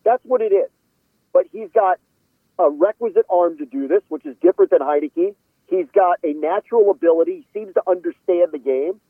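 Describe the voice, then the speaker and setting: Male sports guest, opinionated and high-voiced, chatting casually over a narrow, dry line. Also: expressive, a little fast, vocal fry, no echo. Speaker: radio football guest analyst. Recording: lo-fi phone-like radio line.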